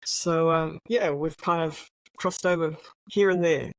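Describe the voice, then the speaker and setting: An Australian woman in her sixties, a retired police officer telling her story as an interview guest in a relaxed, reflective way. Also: deep voice, a little slow, dry recording, poor audio. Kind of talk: interview conversation.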